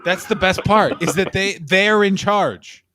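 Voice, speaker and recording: A man co-hosting a casual comedic chat, excitable and quick to swear and joke. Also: sits high, background noise. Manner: excitable, swears freely, jokey impressions. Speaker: podcast co-host.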